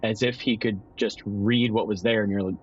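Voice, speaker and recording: A man's voice: fairly low pitch, fairly even intonation, normal speed, slight background noise. A man playing a tabletop game, improvising a story in casual, explanatory talk that restates itself. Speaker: guest tabletop game player. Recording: dull, slightly lo-fi microphone sound.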